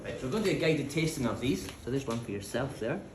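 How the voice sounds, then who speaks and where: A man running a guided chocolate tasting, friendly and brisk, checking on each guest. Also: mid-range voice, animated. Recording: echoey room with background noise.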